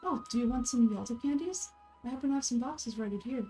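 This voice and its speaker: A friendly festival stallholder from a fantasy game, put on by a woman. The voice is high and cheerful. The manner is chatty and helpful, like a seller walking a customer through the choices. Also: lively intonation, a little fast, warm tone, faint music behind, close to an everyday voice.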